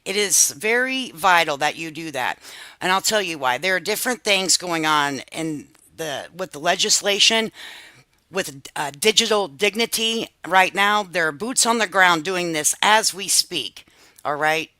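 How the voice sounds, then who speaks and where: A woman hosting a reaction commentary channel, pausing another video to talk over it in a chatty way, scolding commenters and laughing at herself. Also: lowish voice, expressive, pushed and bright, no room sound.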